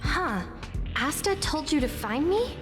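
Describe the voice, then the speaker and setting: A woman's voice: high voice, very animated, measured pace. A woman voicing an impatient, prickly young researcher in a video game, exasperated and brusque. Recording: music playing behind the voice.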